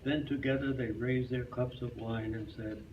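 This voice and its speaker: A man's voice: mid-range voice, steady tone, normal speed, highs cut off. Male voice, live story reading, distant microphone, faint background noise. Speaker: reader in a reader's theater. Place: clubhouse hall, live performance.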